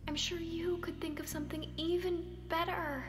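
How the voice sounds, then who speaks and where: A woman's voice: very high voice, level tone, moderate pace. A woman acting a caring, persistent character who coaxes and probes in naturalistic stage-play dialogue, sometimes hesitantly. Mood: worried, nudging. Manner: coaxing, probing, hesitant at times. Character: Sonia, caring, persistent woman nudging a withdrawn man. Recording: roomy sound with music behind.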